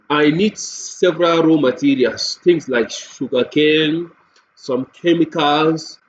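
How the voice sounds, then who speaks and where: A man with a Nigerian accent giving a software tutorial walkthrough, explaining step by step and repeating points with everyday examples. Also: a little high. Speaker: software demonstrator. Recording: dull lo-fi mic with faint noise.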